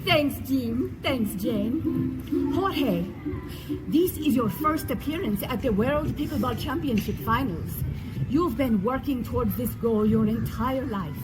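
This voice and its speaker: High, grandiose announcer played by a woman. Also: lively intonation, slow pace, over loud music. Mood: mock earnest. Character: grandiose, chirpy female announcer at overblown events. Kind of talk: parody announcing in stage comedy. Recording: noisy live stage play recording.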